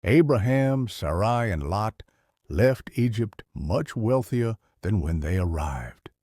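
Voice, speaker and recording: A man narrating a scripted story solemnly as narrator, with a husky voice. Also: fairly low pitch, animated, takes it slowly, warm tone, dry recording, clean studio sound.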